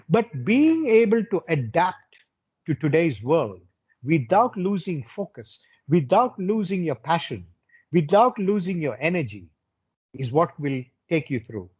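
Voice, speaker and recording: Animated, high-voiced older man, husky. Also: muffled recording. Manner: emphatic, repeats phrases for stress. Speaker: hotel general manager, guest speaker. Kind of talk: motivational talk to students.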